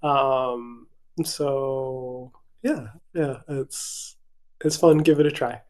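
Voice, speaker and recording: A man's voice: medium pitch, fairly even intonation, extremely slow. A young man from Hawaii, a literary translator speaking casually on a video call, hesitant with many fillers and restarts. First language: English. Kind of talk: casual virtual event conversation.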